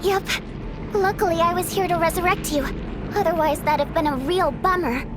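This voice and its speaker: A woman voicing a chirpy, upbeat female guardian spirit who gives quick, urgent instructions in a game. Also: extremely high pitch, steady tone, background noise. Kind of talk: game voice acting. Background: game music.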